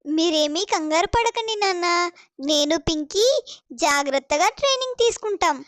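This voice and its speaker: A confident, eager young woman from a cartoon storybook, played by a woman. She sounds very high and reassuring, in a dry recording. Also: lively intonation, normal speed, no echo.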